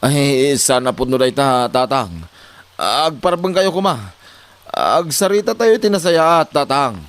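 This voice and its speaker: An actor playing an exasperated young husband in a comedy drama, complaining and reasoning. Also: male voice, mid-range voice, very animated, a little slow, fairly bright tone, clean tone, no room sound. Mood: respectful pleading. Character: exasperated young husband fed up with his wife.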